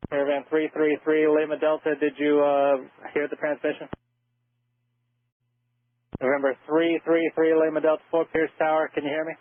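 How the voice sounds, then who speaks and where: A man working as an air traffic controller, giving halting, procedural instructions and repeating call signs over an aircraft radio. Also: on the high side, completely flat delivery, narrow, tinny audio. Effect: aircraft radio transmission.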